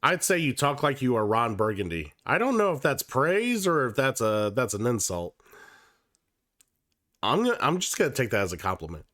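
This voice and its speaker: Male streamer, under the weather, slightly nasal, mid-pitched, loose profane chatter, dry close mic. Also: very animated, normal speed, pushed and bright, dry recording. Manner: casual and profane, with many fillers and restarts. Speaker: livestream host. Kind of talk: casual livestream chat.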